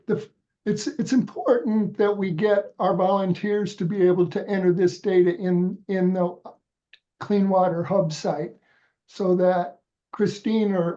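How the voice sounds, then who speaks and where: A man's voice: high-pitched, warm tone, muffled recording. A man with a head cold, congested, walking volunteers patiently through a website step by step on a video call, with frequent restarts. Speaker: volunteer trainer. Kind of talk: step-by-step instructional walkthrough.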